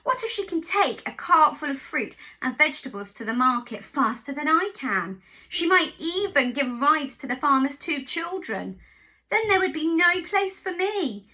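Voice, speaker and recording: A woman voicing a gentle, worried farm horse in a storybook. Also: sits high, big pitch swings, normal speed, full character voice.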